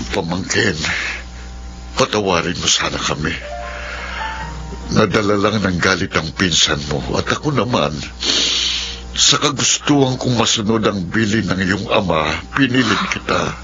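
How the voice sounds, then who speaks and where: A guilty uncle played by a man pleads with halting apologies in a somewhat low voice that carries a bright edge. The sound is dull and lo-fi, with a faint hum and hiss. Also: full character voice. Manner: hesitant, apologetic, halting with fillers.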